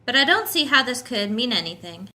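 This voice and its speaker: A woman's voice: on the high side, big pitch swings, a little fast, bright, forceful tone, exaggerated voice. A woman playing a polite, innocent-seeming housewife and hostess in a genteel, dramatic 1950s radio style. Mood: shaken, hesitant. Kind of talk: 1950s radio mystery acting.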